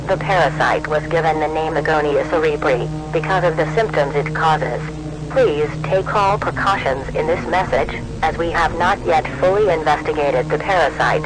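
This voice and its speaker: A man reads a scripted emergency broadcast as an announcer, in a formal, measured and impersonal manner. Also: fairly high pitch, noisy background.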